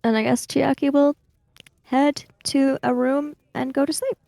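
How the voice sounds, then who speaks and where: A woman playing a tabletop roleplaying game, speaking improvised dialogue in a measured, deliberate way with halting phrases. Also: sits high, steady tone, vocal fry, warm tone, no echo, studio quality.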